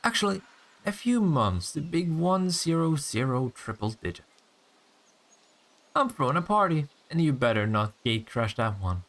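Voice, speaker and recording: A man's voice: on the high side, big pitch swings, fairly bright tone. Man playing a smug, teasing vampire, swooping intonation. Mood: boastful, playful. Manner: sardonic, teasing, swearing, drawn-out taunts. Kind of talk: intimate audio roleplay acting.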